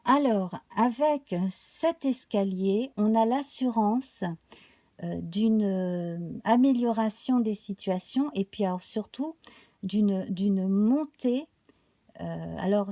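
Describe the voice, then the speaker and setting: Slow, slightly hoarse woman. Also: medium pitch, mellow, no room sound. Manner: slow, halting, many fillers. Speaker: tarot reader. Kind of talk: live tarot card reading.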